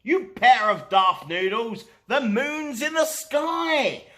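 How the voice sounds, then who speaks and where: A man's voice: very high voice, animated, slow, sharp tone, voice pushed up, heavily acted. A man voicing a cheerful, teasing female bear in a storybook, playful and exclamatory.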